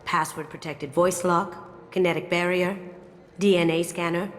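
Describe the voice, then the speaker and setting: An actress playing a sly, self-assured master thief in a video game, breezy and wry. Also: female voice, fairly low pitch, fairly even intonation, unhurried, a little hiss or hum, natural voice. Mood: confident, businesslike. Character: sly, confident master thief woman, playful and cool. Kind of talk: video game character acting. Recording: music behind the dialogue. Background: game soundtrack music.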